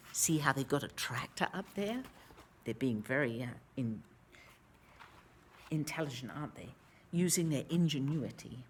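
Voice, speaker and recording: Woman reading a kids storybook, super slow, big swoops in pitch, dramatic emphasis on words. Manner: dramatic emphasis, drawn-out words, hushed asides. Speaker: storyteller reading a picture book. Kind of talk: expressive read-aloud for children.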